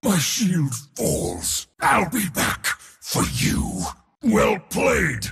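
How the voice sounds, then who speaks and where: An actor playing an arrogant, bombastic god of war in a video game, declaiming and gloating in a booming theatrical voice. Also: male voice, wildly swooping pitch, extremely slow, gravelly, a bit bright, big-room sound, full character voice. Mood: defiant outrage. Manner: booming, declamatory, gloating, short battle cries.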